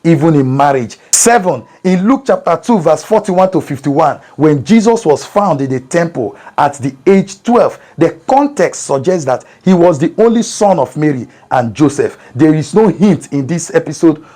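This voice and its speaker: Priest teaching in a mellow voice. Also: male voice, on the high side, expressive. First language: Igbo. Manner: measured, methodical explaining with numbered points. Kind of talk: scripted Bible teaching.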